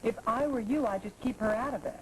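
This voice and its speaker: A woman's voice: fairly high pitch, fairly quick, background noise, natural voice. An actress playing a poised, flirtatious professional woman in a 1980s daytime soap, talking in a teasing, self-assured way. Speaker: soap opera character.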